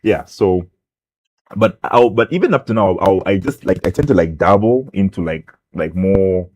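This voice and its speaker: A man's voice: low-pitched, animated, normal speed, darkish tone, lo-fi sound. A young man from Zambia, a rapper guest on a talk show, rambling casually with lots of fillers and restarts.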